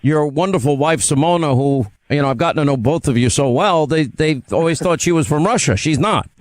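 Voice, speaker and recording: A male host pressing his points emphatically and steering his guests with leading questions. His voice sits a little high for a man, and the recording is close and dry with no room sound. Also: brisk, no room sound. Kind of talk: interview talk.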